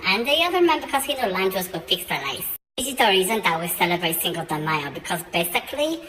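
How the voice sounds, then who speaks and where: A woman's voice: fairly low pitch, pushed and bright, poor audio. A woman narrating an improvised comic fairy tale in a chatty, playful way. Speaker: storyteller.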